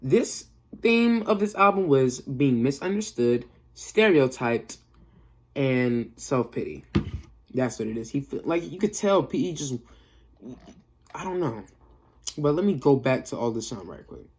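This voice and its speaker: A man's voice: medium pitch, expressive, a little slow, a little mellow. A young man giving a casual, off-the-cuff music review in a slangy, emphatic way, often repeating himself for effect. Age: young adult.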